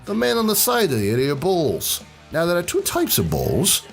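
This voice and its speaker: A man's voice: sits high, wildly swooping pitch, normal speed, vocal fry, soft background music, full character voice. A man playing a cocky, foul-mouthed, drunken brawler in a fan audio drama, sardonic and taunting. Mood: menacing.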